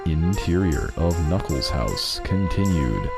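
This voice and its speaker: Low voiced guy reading fanfic stage directions, really lively pitch, music behind. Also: slow, vocal fry. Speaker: narrator. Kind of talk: scripted fan fiction narration, reading stage directions.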